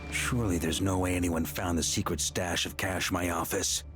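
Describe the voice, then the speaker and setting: A man's voice: deep voice, steady tone, moderate pace, over music, natural voice. A man playing a carefree, middle-aged grand master knight in a fantasy video game, jovial and offhand. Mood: puzzled.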